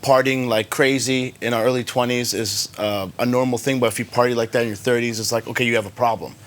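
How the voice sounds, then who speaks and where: A man who grew up in Germany, a filmmaker guest answering interview questions in a relaxed, hesitant way with many fillers. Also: medium pitch, quick pace.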